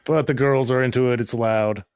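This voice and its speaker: A man's voice: medium pitch, fairly even intonation, normal speed, darkish tone, smooth, no echo, clean studio sound. A man narrating an improvised tabletop roleplaying game as game master.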